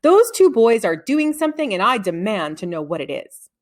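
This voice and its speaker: A woman's voice: medium pitch, sing-song, moderate pace, lightly acted. A woman voicing an indignant, suspicious female schoolteacher, emphatic and incredulous, in a storybook.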